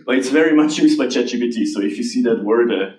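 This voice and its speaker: A man from Switzerland, answering audience questions about AI in a halting, restarting way. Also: medium pitch, darkish tone. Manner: halting, restarts often, laughs lightly. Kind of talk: conference Q&A. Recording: roomy hall, voice from a distance.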